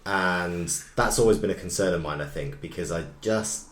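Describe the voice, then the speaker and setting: A man in his thirties co-hosting a casual gaming chat, relaxed and a little hesitant with his views. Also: low voice, moderate pace. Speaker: co-host. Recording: roomy echo.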